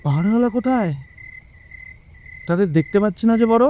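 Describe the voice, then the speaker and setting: A man's voice: high-pitched, expressive, brisk, warm tone, slight background noise. An actor playing a fussy, timid, food-loving policeman with a grumbling manner in an audio story. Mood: anxious indignant. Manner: grumbling, questioning, exclamatory.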